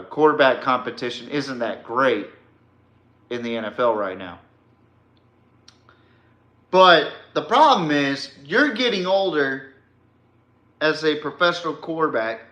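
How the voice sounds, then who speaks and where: A man's voice: medium pitch, bright, forceful tone. A man hosting a sports podcast, giving a blunt, slangy, opinionated rant with swearing and emphatic direct address. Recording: echoey, reverberant room.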